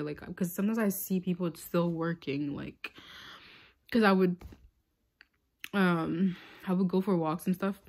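A woman's voice: fairly low pitch, a little mellow. A young woman born in Tanzania telling a casual storytime into her phone, rambling with lots of filler words. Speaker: vlogger. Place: small room indoors. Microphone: phone held close.

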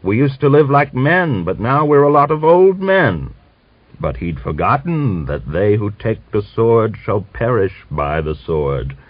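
A scolding, pious old man of nearly a hundred rails at the young and reads scripture in a slow, solemn cadence, as a male narrator puts him on. His voice rises high and swings widely. The recording sounds dull and dry. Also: big pitch swings, no echo. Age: older.

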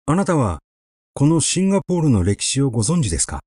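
A man reading a literary story aloud in a measured, expressive, conversational storytelling manner, close to the microphone. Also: medium pitch, breathy edge, no echo. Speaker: audiobook reader.

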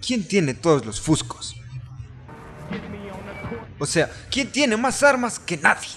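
A man's voice: sits high, big pitch swings, slow, a bit bright, soft background music, exaggerated voice. A man with a Latin American Spanish accent voicing a cocky, abrasive young gangster in a fan-dubbed crime game, brash, slangy and boastful. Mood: needling. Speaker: fan dub voice actor.

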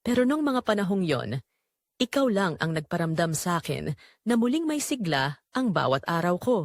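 A woman voicing a quiet, remorseful husband, earnest and tender, in an audio drama. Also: on the low side, sing-song, moderate pace, dry recording, studio quality. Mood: earnest regret. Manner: earnest, apologetic.

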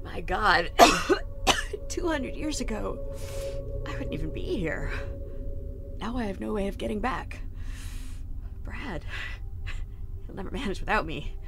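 An actress playing a defiant, weary, stranded survivor in an audio drama. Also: female voice, mid-range voice, expressive, measured pace, vocal fry, pauses often, over music. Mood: bitter, weary.

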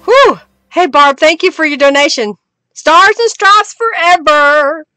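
A woman's voice: high voice, expressive, measured pace, pushed and bright, soft background music. A middle-aged woman from Oklahoma, chatting casually and giggling as she hosts a live crafting stream at her desk. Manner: chatty, giggly, thanks viewers, hums while working. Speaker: crafting livestream host.

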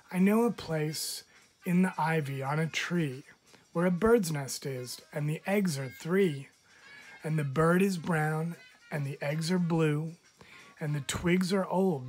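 A man, a teacher, reading children's animal poems aloud in a measured, expressive storytelling manner. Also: fairly high pitch, low-quality recording.

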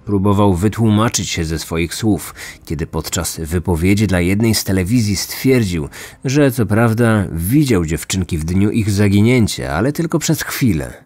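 A man narrating a scripted true crime story as podcast host, in a measured, serious manner. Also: fairly low pitch, expressive, darkish tone. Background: background music.

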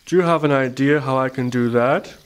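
An earnest little hedgehog played by a man talks in a lively, swinging voice. He is a wistful, excitable storybook animal who stretches words for emphasis and is easily put out. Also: medium pitch, a little slow, pitched above the performer's own voice. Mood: eager.

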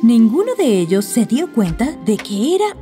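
A woman narrates a children's bedtime story in a mellow voice. Her delivery is lively in pitch, with faint music underneath. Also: moderate pace, in a large hall.

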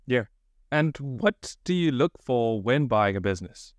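A young man from South Africa hosting a podcast, asking calm, brief questions on a studio mic. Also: medium pitch, unhurried, dry recording. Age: young adult. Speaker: podcast host. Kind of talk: podcast interview. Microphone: studio mic, headphones.

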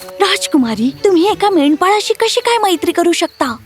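Woman acting as princess's maid, very high voice, haughty, scolding, animated, cartoon fairy tale, music behind. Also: normal speed. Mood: urging. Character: indignant young female attendant of a princess.

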